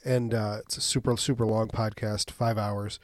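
A man's voice: fairly low pitch, normal speed, no room sound, studio quality. A man co-hosting a podcast with a chest cold, recapping a movie in a joking, chatty way. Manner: joking, lively recaps with acted-out quotes. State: congested, slightly wheezy. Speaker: podcast co-host. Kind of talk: casual movie recap chat.

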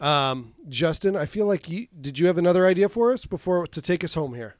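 Man, podcast host, high-pitched voice, dry studio closeness, casual banter, teasing, profane. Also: lively intonation, brisk, no echo.

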